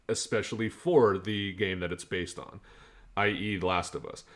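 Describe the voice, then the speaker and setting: A man co-hosting a casual podcast, giving his opinions in a measured, reasoned way. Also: low voice, a bit bright. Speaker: podcast co-host. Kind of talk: casual chat about games and shows.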